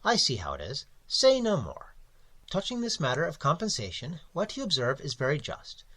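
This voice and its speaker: A man with a husky voice voicing an elderly, evasive magazine editor who talks blandly and fussily, with sudden loud swells. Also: a little high, huge pitch swings, normal speed, heavily acted. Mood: bland, wheedling.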